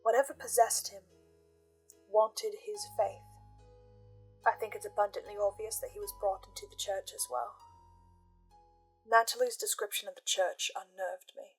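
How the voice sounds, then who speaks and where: A woman giving intense, theatrical scripted commentary with emphatic pauses, like a host laying out a theory. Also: medium pitch, steady tone, slightly rough, rough recording. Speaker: host of a reaction and theory channel. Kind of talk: dramatic scripted commentary on a fiction podcast. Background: background music.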